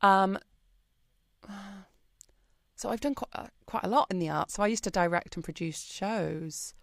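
A British woman being interviewed on a podcast, talking in a chatty, expressive way with plenty of ums and likes. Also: mid-range voice, a little slow, creaky, dry recording, studio quality. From Britain. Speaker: podcast guest. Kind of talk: casual interview chat.

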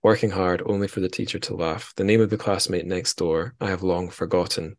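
A man's voice: low voice, flat delivery, brisk, no echo, clean studio sound. A man hosting a podcast in casual conversation, informal and a little self-deprecating. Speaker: podcast host.

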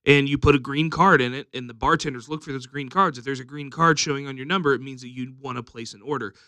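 A man who runs comedy showcases, chatting casually on a podcast and pausing often with fillers. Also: mid-range voice, steady tone, pushed and bright, no echo. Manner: hesitant, many fillers.

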